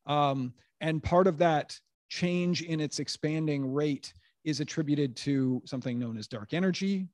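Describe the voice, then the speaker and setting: A man's voice: fairly high pitch, unhurried, darkish tone, no room sound, studio quality. A man in his thirties moderating a science panel Q&A in a lecture room on a handheld mic, thinking aloud with frequent restarts.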